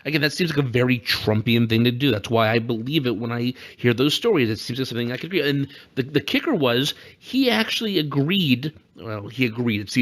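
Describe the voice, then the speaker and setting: A man's voice: mid-range voice, fairly bright tone. Joking man chatting about politics. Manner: joking, teasing, frequent asides. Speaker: podcast co-host. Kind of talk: casual political commentary chat.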